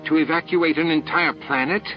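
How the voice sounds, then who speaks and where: A man's voice: a little high, steady tone, moderate pace, dull audio, faint music behind, pitched above the performer's own voice, close to an everyday voice. A man voicing a stern, grave space station captain in an audiobook, indignant but controlled.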